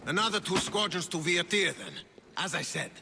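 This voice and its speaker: An actor playing a commanding Spartan in a video game, declaiming formally in an echoing, noisy hall. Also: male voice, high voice, normal speed, pushed and bright, exaggerated voice. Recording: echoing hall, clear background noise.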